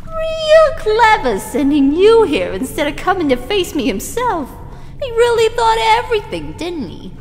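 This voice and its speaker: A high-voiced, menacing little cartoon demon of living ink, swooping wildly in pitch as it taunts and sneers. It speaks in bitter, slangy jabs, like a storybook villain. The voice rings in long reverb. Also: female voice, wildly swooping pitch, measured pace, full character voice. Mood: bitter, mocking. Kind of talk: dramatic fan fiction character reading. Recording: long reverb, faint background noise.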